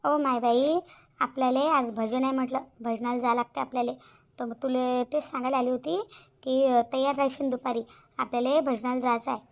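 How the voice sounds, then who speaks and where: A woman's voice: high voice, steady tone, fairly quick, lo-fi sound. A woman voicing a nosy, coaxing village neighbour in a cartoon, with a Varhadi Marathi accent. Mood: chatty. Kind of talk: cartoon voice acting.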